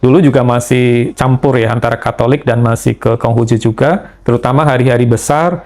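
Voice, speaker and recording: A middle-aged man from Indonesia, an interview guest telling his life story in a measured way, with frequent pauses and fillers. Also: medium pitch, darkish tone. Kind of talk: conversational testimony.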